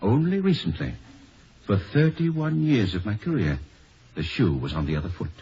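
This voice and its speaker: An actor playing a proud, formal older scholar with a dry, self-satisfied manner in a 1950s radio comedy-drama. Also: male voice, on the low side, big pitch swings, moderate pace, dark tone, husky, full character voice. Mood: smug, wry. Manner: formal, pompous. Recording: muffled old 1950s radio recording.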